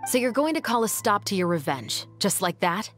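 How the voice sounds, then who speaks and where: A woman's voice: mid-range voice, big pitch swings, normal speed, a bit bright, soft background music. A woman playing a stern, dutiful police captain in a video game, firm and curt. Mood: challenging.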